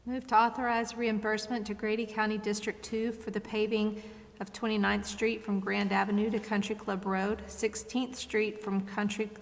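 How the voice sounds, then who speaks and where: A woman's voice: medium pitch, flat delivery, moderate pace. A woman council member speaking formally, as if reading motions into the record. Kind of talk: formal motion read aloud. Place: indoor council chamber. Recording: reverberant council chamber.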